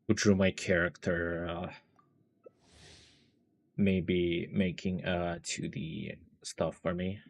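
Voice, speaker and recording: A man's voice: deep voice, little pitch movement, takes it slowly, creaky, pauses often, slight background noise. A man giving casual game livestream commentary, muttering and thinking aloud to himself.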